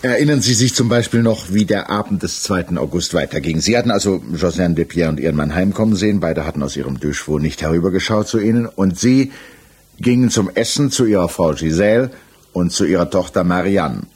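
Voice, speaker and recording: A man acting a composed, persistent examining magistrate in a 1960s German radio crime drama, questioning in a measured, official way. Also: deep voice, expressive, a little mellow, breathy edge. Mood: insistent. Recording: 1960s mono radio production.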